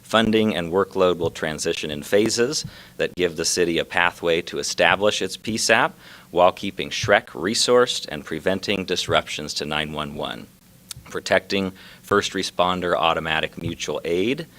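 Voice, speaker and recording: Male official, low-pitched, reading a prepared civic statement in a measured, formal way. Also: fairly bright tone. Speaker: local government official at a press announcement. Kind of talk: prepared public speech.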